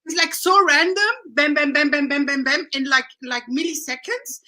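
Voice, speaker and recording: Bright, highish-voiced woman in her late forties. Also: fairly even intonation, normal speed. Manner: rambling, searching for words, emphatic repetition. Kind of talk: casual reflective spiritual chat.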